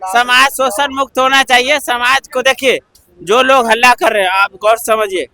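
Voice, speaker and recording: Very high, sharp-voiced Bihari man debating. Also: steady tone, normal speed. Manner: preachy, repetitive, pleads to be heard. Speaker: member of the public debating in a street interview. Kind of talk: heated street debate. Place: outdoors on a city street in Delhi.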